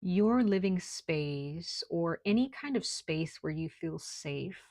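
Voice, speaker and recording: A woman giving a casual tarot reading at home, speaking softly at times and peppering her talk with "like" and "okay?". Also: fairly low pitch, expressive, takes it slowly, dry recording, clean studio sound. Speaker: tarot reader. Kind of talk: casual spiritual reading to camera. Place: at home, noise outside.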